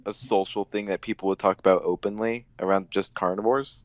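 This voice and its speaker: Man, lowish voice, casual panel chat, muffled lo-fi recording, dry sound. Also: steady tone, a little fast, no room sound. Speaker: podcast panelist. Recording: dull, dry, lo-fi microphone sound.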